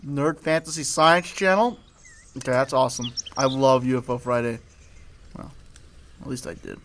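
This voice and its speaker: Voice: mid-range voice, fairly even intonation, moderate pace, a bit bright, slight background noise, natural voice. An actor voicing Billy, an earnest, disillusioned young alien hunter with a wounded air, in an audio drama. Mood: wistful. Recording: music under the voice.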